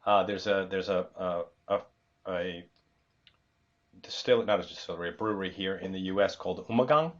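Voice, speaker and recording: A man with a low voice hosts a podcast conversation, relaxed and chatty, often doubling the first words of a phrase. The sound is dull and a bit muffled. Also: fairly quick. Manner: easygoing, chuckles and stutters on starts. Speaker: podcast host. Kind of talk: casual interview hosting.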